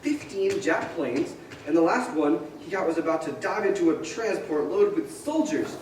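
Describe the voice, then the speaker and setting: A man's voice: a little high, animated, moderate pace, a little mellow, a little hiss or hum, natural voice. A man playing an earnest, hot-tempered family man given to frantic outbursts in a radio stage play. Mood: proud, excited. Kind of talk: old-time radio play acting on stage. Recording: distant echoey stage recording with music.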